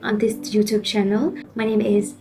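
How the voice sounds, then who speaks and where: A woman's voice: mid-range voice, completely flat delivery, normal speed. Nervous young woman with a Vietnamese accent. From central Vietnam. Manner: earnest, a little nervous, frequent "you know" fillers. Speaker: vlogger. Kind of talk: casual vlog talk to camera. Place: at her desk at home. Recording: soft music behind the voice.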